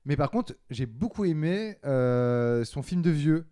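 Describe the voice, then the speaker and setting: A man's voice: fairly high pitch, lively intonation, takes it slowly, dark tone. A man co-hosting a film podcast, chatting casually and giving blunt, opinionated takes. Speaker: podcast co-host.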